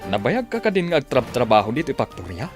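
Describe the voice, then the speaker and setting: A man's voice: mid-range voice, wildly swooping pitch, fast talker, over loud music. A man playing a friendly, fatherly older factory worker in a radio drama, with an Ilocano accent. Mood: fatherly, approving.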